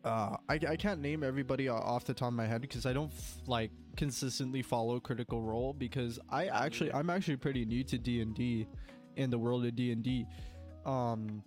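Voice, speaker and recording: A man's voice: mid-range voice, steady tone, brisk, darkish tone, faint music behind. A young man with a cold, congested, chatting casually as a podcast co-host and rambling with lots of filler words. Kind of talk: casual chat.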